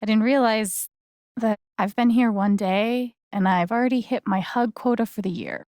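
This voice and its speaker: An actress playing a young adult woman in a video game, warm, awkward and sarcastic, talking in a casual, halting, naturalistic way. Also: on the high side, fairly even intonation, no echo, clean studio sound, lightly acted. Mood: friendly, easygoing.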